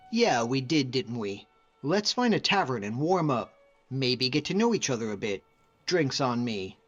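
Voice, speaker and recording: A man playing a friendly, modest lone-wolf hunter as a video game companion, speaking in an earnest, measured way. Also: mid-range voice, lo-fi sound, close to an everyday voice. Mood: warm, easygoing.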